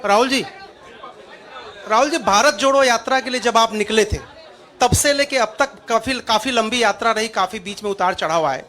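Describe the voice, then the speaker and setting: A man's voice: very high-pitched, normal speed, sharp tone. A man working as a reporter, putting long questions to a politician at a press conference. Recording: crowded press room chatter behind.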